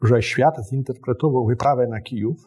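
A man's voice: medium pitch, moderate pace, dark tone, husky. A man speaking as a guest historian, explaining in formal but hesitant phrases. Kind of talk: explanatory interview talk.

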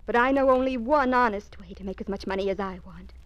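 Actress as a composed, quietly scheming poor girl; level mid voice, old radio play. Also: mid-range voice, fast talker, highs cut off, natural voice. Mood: calculating. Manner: calm, polite, quietly scheming. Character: poor, beautiful young cleaning girl, calm and calculating.

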